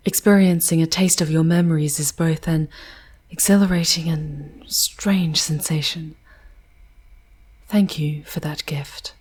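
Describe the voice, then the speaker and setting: A woman plays a charming demon woman guiding a mortal in an ASMR fantasy drama. Her voice is low and heavily breathy, often sinking toward a whisper. She speaks slowly, in tender, wistful, poetic phrases with formal diction. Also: steady tone, darkish tone. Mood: concerned, tender. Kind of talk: ASMR roleplay, soft theatrical narration.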